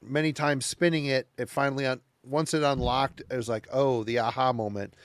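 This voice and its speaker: A man's voice: medium pitch, fairly even intonation, fairly quick, no room sound. A man co-hosting a podcast, talking emphatically in casual chat, repeating himself and swearing casually. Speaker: podcast co-host. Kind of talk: casual chat about metal albums.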